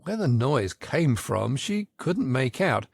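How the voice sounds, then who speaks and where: A man narrating a children's story in an expressive, theatrical audiobook style. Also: mid-range voice, takes it slowly, vocal fry, husky, no room sound.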